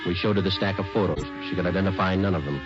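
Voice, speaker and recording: An actor playing a terse, matter-of-fact police sergeant in a 1940s radio drama, speaking in clipped, deadpan sentences. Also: male voice, deep voice, rapid-fire, over music, natural voice. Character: terse, businesslike male police sergeant narrating his case. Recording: muffled vintage 1940s radio recording.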